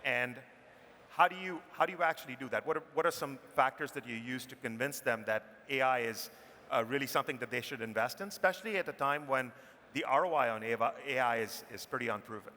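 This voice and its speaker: Bright male moderator in an echoey hall. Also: mid-range voice, brisk, slight background noise. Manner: poses long, layered questions. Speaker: panel moderator. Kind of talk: moderating a conference panel. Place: conference stage in a large hall. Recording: echoey hall with faint ambience.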